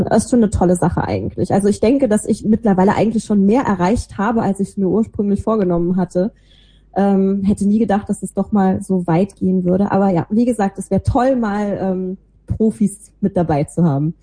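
A woman with a warm, mellow voice that is a little husky talks casually as an interview guest. She is chatty and self-deprecating as she tells about herself. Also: medium pitch, moderate pace. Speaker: interview guest, podcaster and small creative agency owner. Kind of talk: casual chat, telling about own life and work.

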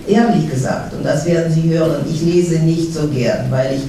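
Middle-aged russian woman, halting slow speech, mellow tone, faint hiss behind. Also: low voice, fairly even intonation. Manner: halting, many fillers and restarts. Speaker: guest author. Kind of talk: panel talk. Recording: echoey hall from far off, faint noise.

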